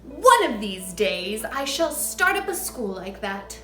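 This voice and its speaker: A woman playing a booming, furious giant headmistress. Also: very animated, slow, a bit bright, heavily acted. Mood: menacing. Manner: booming, barking, ranting. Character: huge, furious, child-hating headmistress. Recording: echoey room.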